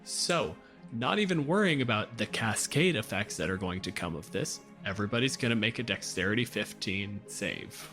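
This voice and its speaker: A man's voice: lowish voice, lively intonation, moderate pace, sharp tone, soft background music. Casual, sweary man running a tabletop game. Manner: profane, casual. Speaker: game master on a podcast.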